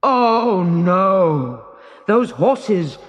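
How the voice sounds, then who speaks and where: Actor voicing a slow, blustering lake giant. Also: medium pitch, big pitch swings, big-room sound. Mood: alarmed, pleading. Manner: drawn-out, exclaiming, theatrical. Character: fearsome green-bearded lake giant, male, blustering and pleading. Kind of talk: children's storybook character reading.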